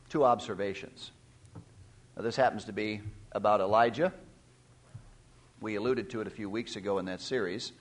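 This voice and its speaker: Middle-aged male pastor, sermon delivery, stops to gloss old scripture words, voice climbs and swells on key verses. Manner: reads scripture aloud and explains old words as he goes; pitch rises sharply and louder when stressing verses.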